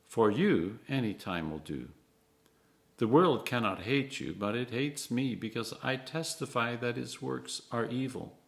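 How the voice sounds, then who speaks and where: A clergyman reading scripture aloud in an expressive, measured way, phrase by phrase, with emphatic stresses. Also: medium pitch, creaky.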